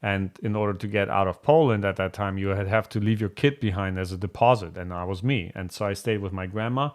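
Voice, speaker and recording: A man's voice: lowish voice, a little fast. A man from Germany hosting a casual live question-and-answer chat, speaking in a candid, blunt and rambling way. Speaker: host answering viewer questions.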